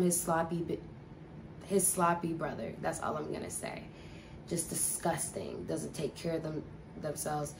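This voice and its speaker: A woman's voice: fairly low pitch, warm tone, a little hiss or hum, rough recording. A young woman telling a casual storytime, rambling and profane with lots of filler words. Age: young adult. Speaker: vlogger.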